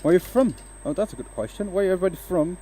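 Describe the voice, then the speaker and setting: A man's voice: sits high, animated, racing, mellow, slight background noise. A man from Denmark, by birth, hosting a woodturning livestream and chatting loosely with viewers as he works, with frequent fillers and restarts. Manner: chatty, hesitant, talks to viewers while working. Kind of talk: casual livestream chat while working. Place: home workshop with a lathe.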